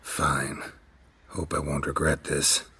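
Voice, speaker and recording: Gruff monster hunter, rock-bottom pitch, very hoarse rasp, laconic, deadpan, fantasy video game. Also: male voice, slow pace, heavily acted. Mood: wary, laconic. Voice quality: gravelly, rasping low voice. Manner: terse, dry, deadpan. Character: gruff, laconic male monster-hunting mercenary swordsman.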